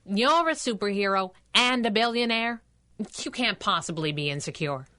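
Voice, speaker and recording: Casting: sassy superhero sidekick, feisty and wisecracking, very animated, bright voice, 1930s radio style. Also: female voice, mid-range voice, normal speed. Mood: wry. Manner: sassy, emphatic, sarcastic. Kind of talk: 1930s radio adventure serial acting.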